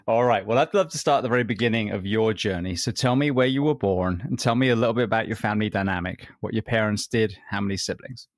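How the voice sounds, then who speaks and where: A man hosts the conversation, asking easygoing, curious questions. His voice sits fairly low, and the sound is close and dry. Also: a little fast, no echo. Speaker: podcast host. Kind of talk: interviewer chat.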